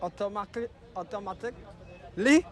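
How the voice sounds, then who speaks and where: A man's voice: high-pitched, lively intonation, normal speed, fairly bright tone, some chatter behind. A talkative man with a Trinidadian accent, chatting casually as a street interviewee and wandering off the question. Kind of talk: rambling casual chat on the street. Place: outdoors on a street.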